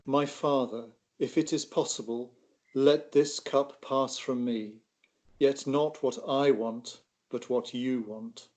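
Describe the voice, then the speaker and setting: Grave, sorrowful male teacher read by a man, mid-pitched, measured and solemn, for a dramatised gospel. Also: steady tone, darkish tone, lightly acted. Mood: grieved, earnest. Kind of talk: dramatised scripture reading.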